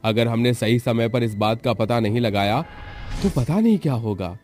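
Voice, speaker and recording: A concerned village headman voiced by a man in a cartoon tale, medium in pitch. He reasons earnestly with people, over faint music. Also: moderate pace, a little mellow, natural voice. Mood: worried. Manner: earnest, argumentative. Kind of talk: Hindi animated story acting.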